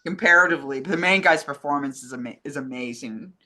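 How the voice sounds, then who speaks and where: A woman's voice: extremely low pitch, quick pace, bright, forceful tone. A woman co-hosting a casual, conversational chat about films and television. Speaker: co-host, communications director.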